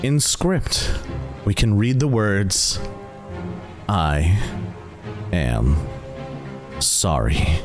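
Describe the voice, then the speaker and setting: Male game master, low and very slow, theatrical suspense narration with deliberate pauses. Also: sing-song, music in the background. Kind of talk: slow, dramatic cinematic narration of a tabletop game.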